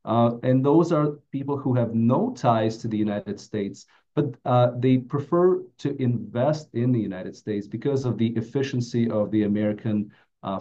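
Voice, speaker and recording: A middle-aged man with a mellow voice, giving a prepared webinar presentation as a financial advisor. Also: mid-range voice. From Latvia. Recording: dull, muffled webinar audio.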